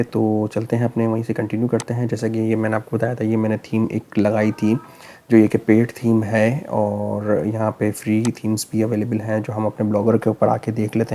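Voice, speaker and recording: A man with a sore, hoarse throat, walking through a computer tutorial step by step in a relaxed, explaining way, often pausing to check in. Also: fairly low pitch. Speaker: tech tutorial host. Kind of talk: screen-recorded tutorial narration.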